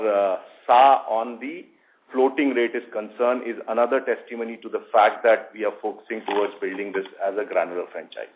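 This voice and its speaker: A male bank executive answering on an earnings call in a formal, wordy, halting way. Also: lowish voice. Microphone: phone conference call. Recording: tinny narrowband phone line.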